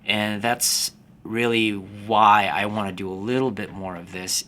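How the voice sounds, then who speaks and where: A man in his forties, born in the Philippines, a fitness business coach talking to camera in a calm, slow, unhurried way. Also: deep voice, slight background noise. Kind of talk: casual talking-to-camera vlog.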